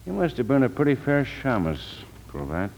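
Folksy american police lieutenant, very animated, dry humor, old time radio crime show. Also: male voice, mid-range voice, normal speed, creaky, poor audio. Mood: wry. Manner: wry, folksy. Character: wry, easygoing but commanding police lieutenant. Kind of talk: 1940s radio noir acting.

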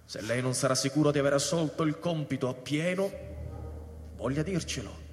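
A male actor plays a pompous, earnest man of science on a space station. His fairly high voice is formal and full of feeling, as in a radio drama. Long reverb and faint noise surround it. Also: brisk, natural voice. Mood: solemn, pompous. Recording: echoey hall reverb with background noise.

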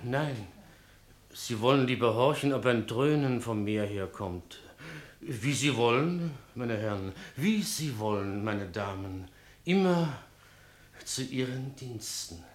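An actor playing a nervous, servile innkeeper in a radio play, hesitant and fussily obsequious. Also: male voice, mid-range voice, animated, takes it slowly, echoey room. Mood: resigned, servile. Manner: stammering, obsequious. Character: nervous, servile male innkeeper fussing over his guests. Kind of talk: German radio play acting.

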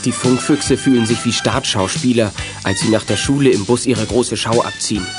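A man's voice: mid-range voice, moderate pace, over loud music, close to an everyday voice. A man narrating a youth detective radio play as a scripted storyteller. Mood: storytelling.

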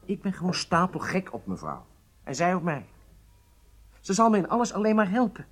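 A man's voice: high voice, big pitch swings, normal speed. An actor playing a charming, self-assured agent in his late thirties, speaking with casual nonchalance in a radio drama. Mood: defensive. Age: 30s.

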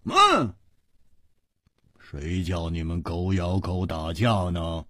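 A man voicing a cold, contemptuous swordsman in a martial arts story, with deliberate, menacing delivery. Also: deep voice, sing-song, bright, forceful tone, no echo, clean studio sound, full character voice. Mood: scornful.

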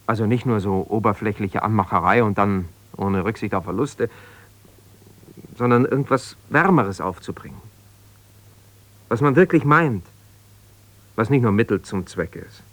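An actor playing a wounded, self-justifying husband in a naturalistic radio drama, talking in a hesitant, searching, conversational way. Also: male voice, on the low side, normal speed, long pauses, fairly bright tone. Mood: earnest, searching. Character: defensive, wounded husband pouring out his troubles to a confidante. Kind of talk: naturalistic 1970s German radio drama monologue.

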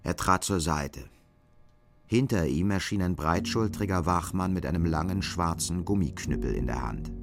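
Male narrator, very low gravelly voice, scripted radio drama, music underneath. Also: moderate pace.

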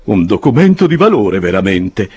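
A husky-voiced man reading an audiobook and voicing a flustered, exasperated elderly gentleman. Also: lowish voice, big pitch swings, normal speed, dry recording. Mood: fussy. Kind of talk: audiobook character voice.

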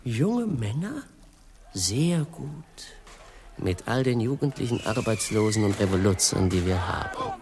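An actor playing a greedy, excitable Spanish king who haggles and repeats himself in a satirical radio play. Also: fairly low pitch, unhurried. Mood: excited haggling. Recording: long hall reverb.

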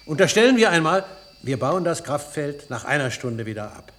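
A man acting in a radio play as an impatient scientist who lectures in a brisk, dryly ironic, didactic way. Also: on the high side, sing-song, fairly bright tone. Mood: lecturing, animated. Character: impatient, ironic male scientist, a lecturing professor explaining theories. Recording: noisy old radio play recording.

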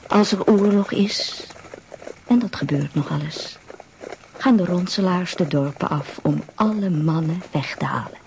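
A woman playing a solemn folktale narrator in a radio drama, measured and dramatic, sometimes hushed. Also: low voice, gravelly, a little hiss or hum, full character voice.

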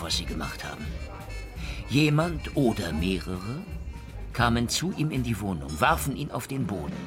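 An actor playing a sardonic, menacing private detective in a noir radio drama, with a clipped tough-guy delivery. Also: lowish voice.

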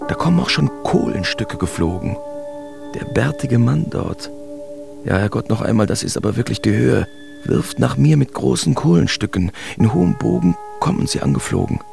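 Creaky-voiced artist recounting nightmares. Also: male voice, mid-range voice, very animated, moderate pace. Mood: agitated, indignant. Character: German male artist telling his absurd nightmare, wry, uneasy. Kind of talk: radio drama first-person narration. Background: music behind the voice.

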